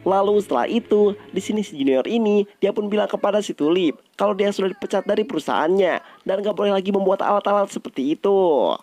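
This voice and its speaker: A man's voice: high-pitched, quick pace, darkish tone, dull audio. A man narrates a film recap in a casual, scripted style, addressing viewers directly in colloquial speech. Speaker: movie recap host.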